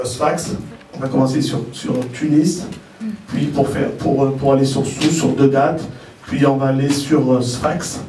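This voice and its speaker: A man's voice: mid-range voice, warm tone. A man giving an informal spoken presentation as a contest president, hesitating and repeating words as he explains, recorded at a distance. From Algerian family origin. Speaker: president of a model contest, speaker at press presentation. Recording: roomy echo, distant mic, faint noise.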